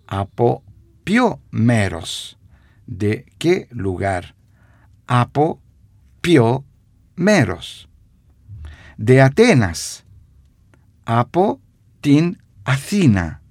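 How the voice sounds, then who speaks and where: A man giving a radio language lesson, repeating phrases slowly with hesitant fillers. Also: mid-range voice, sing-song, a little mellow, no echo, studio quality. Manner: slow, repeats phrases for dictation; stumbling fillers.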